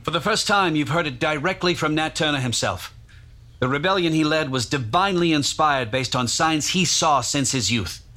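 An actor playing an earnest, formal news correspondent in a dramatized historical report, pointed and declarative. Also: male voice, on the high side, moderate pace, bright, forceful tone. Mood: grave, declarative. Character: earnest male newspaper-era reporter, presenting like a news correspondent.

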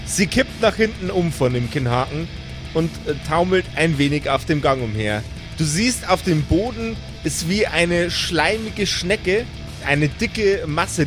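A man narrating an improvised tabletop game as game master. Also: a little high. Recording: music behind the voice.

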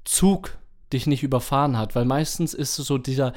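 A man's voice: medium pitch, moderate pace, a little mellow. A man co-hosting a podcast, chatting casually in a rambling way sprinkled with English slang. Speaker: podcast co-host. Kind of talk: casual chat.

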